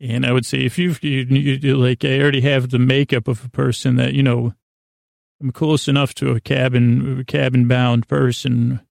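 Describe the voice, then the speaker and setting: Male sleep-podcast host, creaky voice in a dry recording, halting self-deprecating rambles. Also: mid-range voice, little pitch movement, no room sound. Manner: meandering, halting, circling back on himself; self-deprecating, gently absurd.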